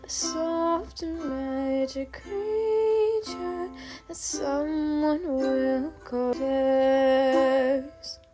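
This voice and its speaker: A woman playing a sweet, measured old-time radio announcer in a radio play, with drawn-out advertising lines. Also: high-pitched. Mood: soothing. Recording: loud music under video call audio.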